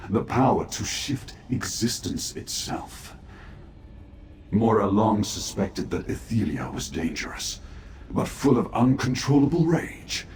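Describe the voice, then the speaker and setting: A doomed, devoted retainer in a fantasy game, slow and formal with a lowish pitch. He sounds grave and pressing, in an echoey space with faint noise behind him. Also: lively intonation, a little mellow. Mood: grave, resigned. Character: despairing, loyal male servant of a fallen prince. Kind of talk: scripted fantasy video game dialogue.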